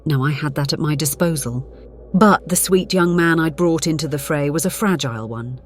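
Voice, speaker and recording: A woman playing a centuries-old, conflicted vampire, narrating gravely in a measured, theatrical way. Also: low-pitched, slight background noise, lightly acted. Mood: brooding. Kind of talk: dramatic scripted narration. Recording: dramatic music behind the voice.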